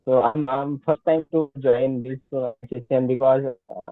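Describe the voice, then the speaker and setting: A man's voice: mid-range voice, fairly even intonation, no room sound. Polite man on a tinny, distant call line. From India. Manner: polite, halting, often says ma'am. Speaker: audience member. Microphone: video call.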